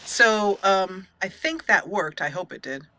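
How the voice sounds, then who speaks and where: A woman's voice: medium pitch, lively intonation, measured pace, pushed and bright, a little hiss or hum. A woman hosting a let's play, giving casual, playful commentary and chatting to the game's characters. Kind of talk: casual live gameplay commentary.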